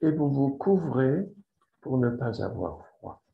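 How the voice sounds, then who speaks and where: A man leading a guided yoga relaxation as the instructor, speaking deliberately and repeating phrases for emphasis. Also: mid-range voice, sing-song, vocal fry. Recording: roomy echo, slightly dull sound.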